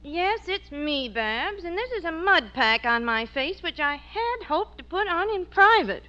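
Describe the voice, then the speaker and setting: Old-radio wife: sharp-tongued, exasperated, high, very animated scold. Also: female voice, a little slow, highs cut off, exaggerated voice. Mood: weary. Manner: scolding, sarcastic. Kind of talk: 1940s radio sitcom acting.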